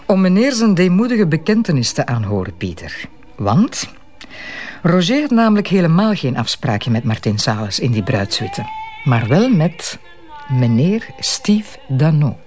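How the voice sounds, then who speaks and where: An actress playing a detective's teasing, self-assured wife in a radio drama, with playful, colloquial Flemish speech. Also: female voice, low-pitched, very animated, a little slow, darkish tone. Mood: teasing, revealing. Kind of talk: naturalistic radio drama dialogue.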